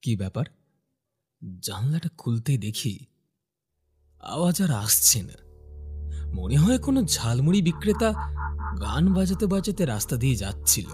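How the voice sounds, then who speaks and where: A man's voice: medium pitch, very animated, moderate pace, long pauses, a little mellow, natural voice. A man playing a curious, puzzled young office worker new to a village, musing and questioning in a horror cartoon. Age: young adult. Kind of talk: horror cartoon dialogue and inner monologue. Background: faint music.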